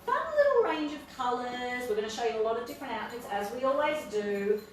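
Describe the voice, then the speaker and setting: A woman's voice: fairly high pitch, big pitch swings, fairly quick. A woman in her thirties with an Australian accent, hosting a casual fashion live stream and laughing often. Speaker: fashion boutique host. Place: clothing studio with a rack, indoors. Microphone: far camera. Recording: roomy echo from far off.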